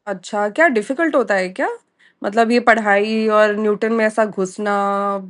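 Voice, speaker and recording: A woman's voice: mid-range voice, steady tone, normal speed, a bit bright. A woman with an Indian accent interviewing casually over a call, inquisitive and often rephrasing her questions. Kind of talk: casual question-and-answer conversation. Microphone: call recording.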